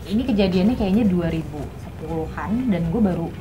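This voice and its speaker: A woman's voice: lowish voice, fairly quick, background noise. A woman with a Jakarta Indonesian accent talking bluntly and in slang as a panelist in a casual discussion. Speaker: talk show panelist. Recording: clear music under the voice.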